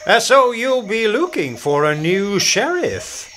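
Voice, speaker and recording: An amateur actor playing a humble, earnest outlaw leader in a radio play, a little halting. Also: male voice, high-pitched, sing-song, a bit bright, full character voice.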